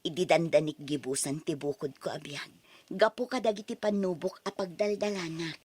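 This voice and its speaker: A woman playing an emotional daughter confiding in her father in an Ilocano radio drama, sentimental and expressive. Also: lowish voice, normal speed, slightly rough. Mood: sorrowful.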